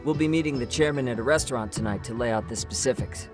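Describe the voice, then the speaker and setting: A man's voice: mid-range voice, quick pace, over music, natural voice. A man playing a laid-back, friendly young detective in an English game dub, with a casual, easygoing delivery.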